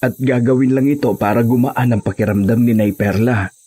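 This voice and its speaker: A man playing an earnest, respectful husband seeking help, with a husky voice, in a horror audio drama. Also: mid-range voice, steady tone, a little fast, warm tone, close to an everyday voice. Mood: polite, grateful.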